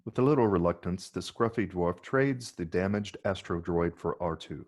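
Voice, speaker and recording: A man's voice: low-pitched, normal speed, a little mellow. A man narrating scripted screenplay stage directions for a table read.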